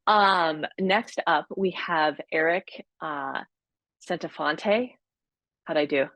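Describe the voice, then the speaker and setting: A woman moderating a virtual fair on a video call, introducing speakers in a casual, slow and hesitant way. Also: sits low, a bit bright, no room sound.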